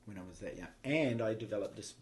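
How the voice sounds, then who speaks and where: Low-voiced New Zealand man reminiscing. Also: expressive, fast, slight background noise. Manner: reflective, meandering with restarts and soft laughs. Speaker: guest, spiritual teacher. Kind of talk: casual storytelling interview.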